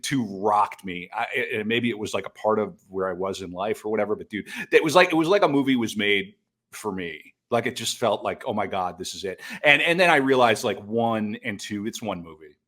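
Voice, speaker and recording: A man's voice: fairly low pitch, expressive, fairly quick, a bit bright. A man co-hosting a podcast, talking casually about films in an effusive, emphatic way and repeating his phrases. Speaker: podcast co-host. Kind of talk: casual film discussion.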